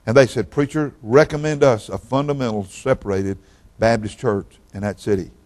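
Older preacher, folksy and rambling. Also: male voice, mid-range voice, moderate pace, darkish tone. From Oklahoma, raised in Texas. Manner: folksy, rambling, repeats words. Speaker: guest pastor. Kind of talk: sermon.

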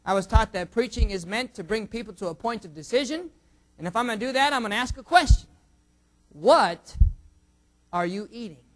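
A younger man preaching a sermon and leading a prayer, joking about food with sudden loud bursts of emphasis. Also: sits high, expressive, a little fast, poor audio. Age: under 45. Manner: jokey, self-teasing, surging loud emphasis.

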